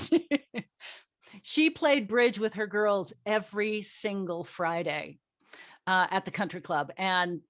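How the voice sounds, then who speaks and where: A middle-aged or older woman with a medium-pitched voice chats casually as host and playwright. She tells anecdotes in an animated way, acting out bits of dialogue and poking fun at herself. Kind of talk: casual group chat, storytelling.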